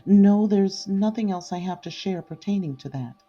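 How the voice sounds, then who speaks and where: A woman's voice: medium pitch, moderate pace, warm tone, slightly rough, dull audio, soft background music, close to an everyday voice. An actress playing a female witness under oath who answers in a guarded, reluctant way.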